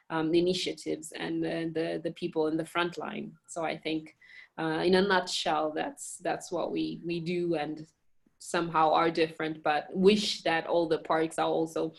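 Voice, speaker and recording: Woman from Mozambique, lower register, halting interview answers full of fillers. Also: vocal fry. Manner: hesitant, many fillers and restarts. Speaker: communications director and girls education program manager at a national park.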